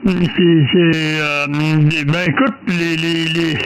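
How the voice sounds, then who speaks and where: A man with a Quebec French accent explaining things casually on an amateur radio contact, halting and repeating himself as he searches for words. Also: on the high side, flat delivery, a bit bright, smooth, rough recording. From French-speaking Canada.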